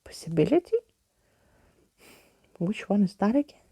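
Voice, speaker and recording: A woman's voice: medium pitch, very animated, moderate pace, vocal fry, warm tone, husky, dry recording, heavily acted. A woman voicing a nervous, hesitant skeleton man, a doubtful sidekick in a storybook. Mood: fearful doubt. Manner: timid, hesitant. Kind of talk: storybook character in ASMR reading.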